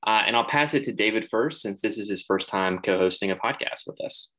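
A man's voice: fairly low pitch, a little fast, sharp tone. A young man hosting a student podcast, upbeat and steering the conversation. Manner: upbeat, guiding questions. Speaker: podcast host.

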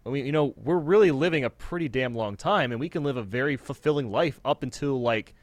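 A man's voice: medium pitch, fairly quick, dry recording. A man in his twenties talking casually and giving his opinions in a podcast conversation. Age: 20s.

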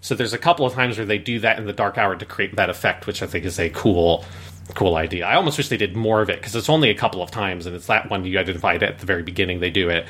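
A man's voice: deep voice, quick pace, fairly bright tone. A man hosting a casual podcast discussion, thinking aloud in a conversational way. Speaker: podcast host.

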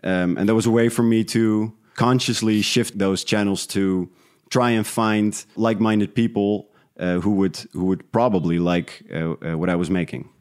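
A man from the Netherlands who grew up speaking talks in a fairly low voice. He is a guest in a relaxed interview, thinking aloud with some hesitation as he explains himself. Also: moderate pace. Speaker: interview guest, independent podcast creator. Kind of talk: casual interview conversation.

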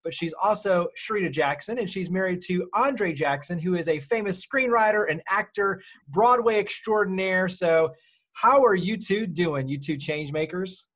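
A man's voice: high-pitched, moderate pace, fairly bright tone. A man hosting a podcast, speaking in an upbeat interview style. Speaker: podcast host.

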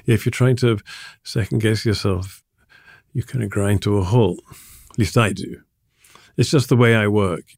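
Older english guy, kinda low voice, halting and thoughtful, dry close recording. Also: fairly even intonation, no echo. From England. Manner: thoughtful, halting, laughs softly between thoughts. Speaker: artist being interviewed. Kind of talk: reflective conversational interview.

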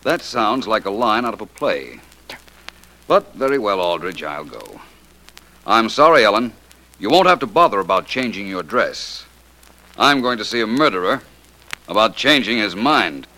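An actor playing a suave, self-assured amateur detective in an old radio drama, urbane, dryly witty and commanding. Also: male voice, lowish voice, sing-song, moderate pace, long pauses, sharp tone, heavily acted. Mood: dry, brisk. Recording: noisy old radio play recording.

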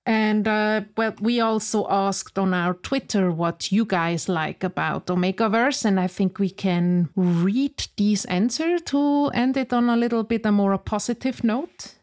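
A woman's voice: mid-range voice, measured pace, hardly any pauses, darkish tone. A woman with a Swiss accent co-hosting a casual podcast, earnestly reassuring listeners and reading their answers aloud. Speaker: podcast co-host.

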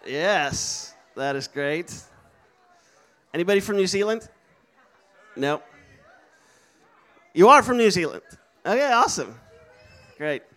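A man's voice: high voice, fairly bright tone. A young man giving an upbeat, encouraging talk and calling out to a live audience at a missions school. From Netherlands. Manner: upbeat, encouraging, calls out to the crowd.

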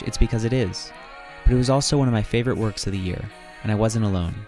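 A man hosting an interview podcast: he reads a scripted intro, then asks relaxed, friendly questions. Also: mid-range voice. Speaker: podcast host. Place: artist's studio.

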